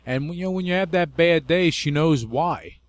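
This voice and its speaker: A man's voice: on the high side, animated, normal speed, no echo. Effusive male radio host. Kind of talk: casual interview chat.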